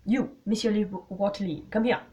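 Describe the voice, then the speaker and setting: A woman voicing a shrewd, polite elderly male detective with a French accent and formal, deliberate phrasing, in a story reading. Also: medium pitch, fairly even intonation, dark tone, voice pushed up, natural voice. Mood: commanding, grave.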